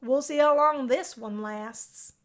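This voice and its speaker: A woman voicing a lively, teasing college girl in a visual novel, with a brash manner. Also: sits high, slow. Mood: catty.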